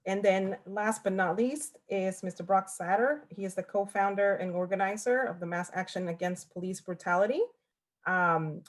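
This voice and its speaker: A woman moderating an online panel, politely introducing each speaker. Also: fairly low pitch.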